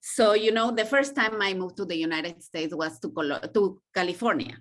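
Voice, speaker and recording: A woman's voice: mid-range voice, normal speed, a bit bright. A middle-aged woman from Mexico with a heavy accent that mixes Mexican Spanish and Israeli sounds, speaking earnestly and reflectively as a podcast guest. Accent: Mexican Spanish mixed with Israeli. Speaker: podcast guest, intercultural coach. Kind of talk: conversational interview.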